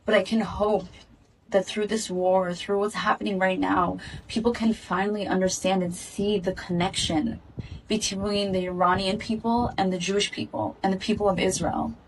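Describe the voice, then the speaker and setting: Woman, earnest statement to camera, steady and measured, slightly off-mic. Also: mid-range voice, far from the mic, a little hiss or hum, low-quality recording. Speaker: activist speaking in a video clip. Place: in Israel.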